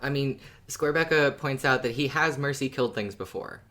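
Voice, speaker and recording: Very deep-voiced woman co-hosting a podcast. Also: fairly quick, a bit bright. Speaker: podcast co-host. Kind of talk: casual fan discussion, relaying listener comments.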